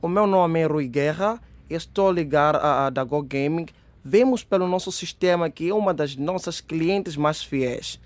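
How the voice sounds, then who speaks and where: A man's voice: on the high side, normal speed, close to an everyday voice. An actor playing a male scam caller using a persuasive marketing voice. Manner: persuasive sales patter.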